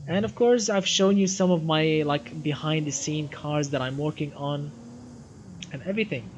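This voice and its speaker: A man's voice: a little high, moderate pace. A man in his twenties with a Syrian Arabic accent, chatting enthusiastically to viewers as a live gaming streamer and often repeating his phrases. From Damascus, Syria. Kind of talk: casual live gaming stream chat and commentary. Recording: muffled lo-fi audio, clear background noise.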